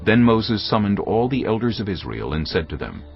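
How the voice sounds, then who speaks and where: A man narrating scripture in a steady, deliberate way. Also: lowish voice. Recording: dull audio over music.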